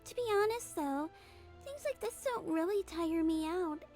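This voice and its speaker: Little girlish head nurse, very high pitch, sweet, earnest, measured, game dub, faint music behind. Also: lively intonation, a little mellow. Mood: weary, thoughtful. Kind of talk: game fan dub dialogue.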